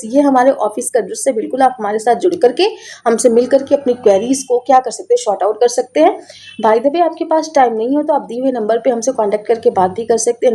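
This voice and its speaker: High, mellow North Indian woman teacher, sore throat. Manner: chatty, Hindi mixed with English, repeats phrases. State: sore throat, voice affected by illness. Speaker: teacher, online course presenter. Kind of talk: online lecture, informal explaining to students.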